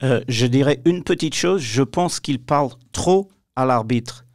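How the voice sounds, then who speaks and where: A man's voice: medium pitch, unhurried, a little mellow, no echo, studio quality. A man giving his opinions as a pundit in a sports radio discussion.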